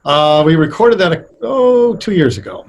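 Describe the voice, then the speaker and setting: A middle-aged or older American man explaining things conversationally as an interview guest, thoughtful and halting as he picks his words. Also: sits high, lively intonation. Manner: hesitant, thoughtful, halting delivery. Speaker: interview guest, radio playwright.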